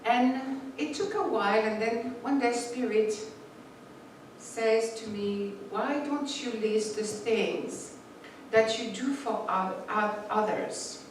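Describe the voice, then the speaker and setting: A woman's voice: on the high side, slow pace. Non-native woman speaking in an echoey room. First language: not English. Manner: reflective, with a few restarts and quiet asides. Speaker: spiritual teacher. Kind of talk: sermon with read passages. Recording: echoey room from far off, faint noise.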